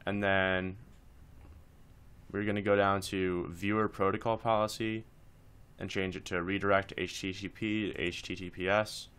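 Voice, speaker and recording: Deep-voiced man giving a casual software tutorial. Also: little pitch movement, normal speed, clean tone. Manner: informal, step-by-step instructing.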